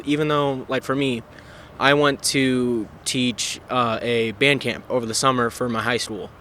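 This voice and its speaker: A man's voice: medium pitch, pushed and bright, a little hiss or hum. A young man in his twenties answering a street interview in an earnest, rambling way. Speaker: student interviewee.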